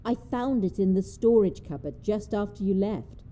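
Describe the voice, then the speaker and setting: A woman's voice: mid-range voice, expressive, normal speed, mellow, close to an everyday voice. An actress playing a feisty, mischievous old woman in an audio drama, her voice muffled. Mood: brisk, determined. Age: older.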